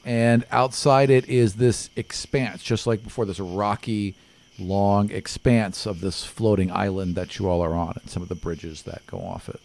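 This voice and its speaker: Low-pitched man running a tabletop game. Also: steady tone, moderate pace, a little mellow. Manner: improvised, conversational scene-setting. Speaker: game master. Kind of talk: narrating a live tabletop roleplaying game. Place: small studio room, group around a table. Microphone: tabletop microphone.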